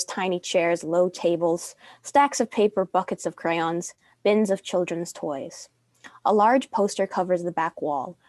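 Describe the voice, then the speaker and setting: A woman's voice: lowish voice, a little mellow, dry recording. A young woman reading stage directions as the narrator of a dramatic script. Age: young adult.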